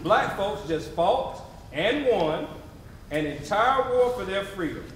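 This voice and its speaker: A man delivering scripted theatrical narration on stage in an animated, emphatic, conversational way with wry asides. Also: high voice, slow, a little hiss or hum. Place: theatre stage before a live audience. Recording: echoey theatre, distant mic, audience around. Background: audience murmurs and call-and-response.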